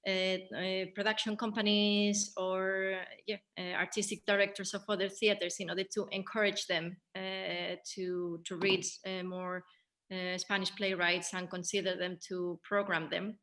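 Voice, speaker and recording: A woman hosting an unscripted panel discussion, speaking in a hesitant, halting way with frequent pauses. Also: medium pitch, little pitch movement, fairly bright tone. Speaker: host of a post-show panel, theatre director.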